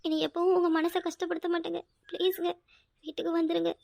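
A woman's voice: very high-pitched, steady tone, fast, sharp tone. A woman playing an emotional, stubborn young wife in a domestic drama, pleading and pouting in a plaintive, insistent way. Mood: tearful pleading. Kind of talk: domestic serial drama acting.

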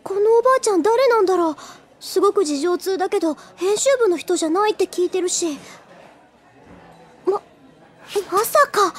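High-voiced naive schoolgirl. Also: lively intonation, moderate pace, long pauses. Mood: puzzled. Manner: puzzled, artless. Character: naive, slow-to-catch-on schoolgirl. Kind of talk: anime audio drama acting.

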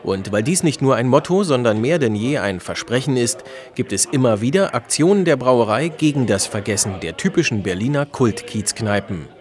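A man's voice: mid-range voice, expressive, normal speed. A man reading scripted promotional narration for a television report. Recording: voice-over with crowd sounds behind.

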